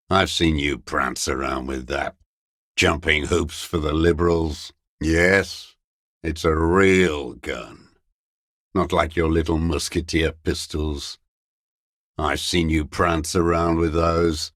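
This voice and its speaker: A scornful old communist deserter in a video game. His voice is extremely low and gravelly, recorded close and dry. He speaks with bitter, unrepentant contempt, sneering at whoever he addresses. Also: fairly bright tone, no room sound, exaggerated voice. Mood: mocking contempt. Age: older. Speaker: voice actor. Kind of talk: dramatic video game character dialogue.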